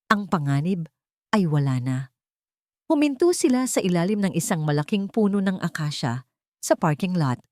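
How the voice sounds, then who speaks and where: A woman narrating a scripted dramatic story in a sentimental, measured storytelling manner. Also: on the low side, a little mellow, no echo, studio quality.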